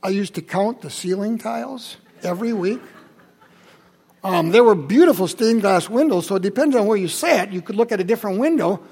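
An older man in his seventies with a high, gravelly, husky voice, speaking to a congregation in a church. He tells Bible stories and memories in a relaxed, chatty way, turning to the listeners with quick asides. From Holland, Michigan. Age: 70s. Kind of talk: personal sermon storytelling.

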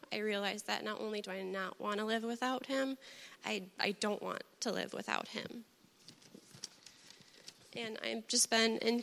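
A woman's voice: mid-range voice, gravelly, a bit bright. A woman reading an earnest, prepared testimony aloud at church before being baptized. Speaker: baptism candidate giving testimony. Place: church sanctuary. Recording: echoey hall with long reverb.

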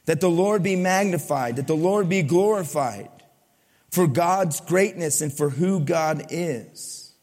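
A male pastor preaching a sermon to his congregation, asking it questions and swinging between loud emphasis and soft, low lines. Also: slow. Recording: long hall reverb.

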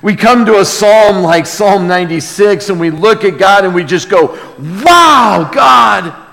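A man preaching a sermon as a pastor, earnest and emphatic, moving between hushed lines and loud exclamation, with rhetorical questions to the congregation. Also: high-pitched, expressive, a little slow, gravelly. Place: large reverberant room, preaching to a congregation. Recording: long hall reverb.

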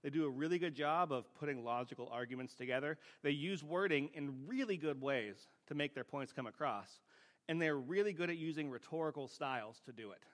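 A man's voice: fairly high pitch, brisk. A man preaching a teaching sermon in a plain-spoken, conversational way, often checking in with rhetorical questions.

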